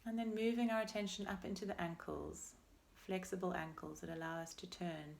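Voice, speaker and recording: A woman leading a guided meditation as a teacher, speaking in a gentle, unhurried and soothing way with pauses between phrases. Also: fairly low pitch, fairly even intonation, creaky. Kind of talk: guided meditation, body scan.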